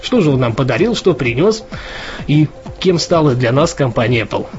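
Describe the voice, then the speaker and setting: A man's voice: medium pitch, sing-song, normal speed. A man co-hosting a casual news podcast, talking in a chatty way with lots of fillers and restarts. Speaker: podcast co-host. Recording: dull lo-fi podcast audio with faint music.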